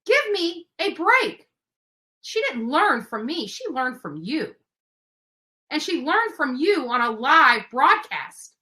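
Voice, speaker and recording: A woman's voice: sits high, big pitch swings, takes it slowly, pushed and bright, poor audio. A woman livestream host delivering an impassioned, confrontational rant, emphatic and indignant, with some swearing.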